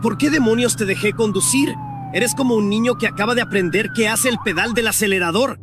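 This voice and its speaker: A man's voice: high-pitched, huge pitch swings, fairly quick. A man voicing a furious, exasperated adult cartoon adventurer who scolds indignantly. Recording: loud car engine noise behind.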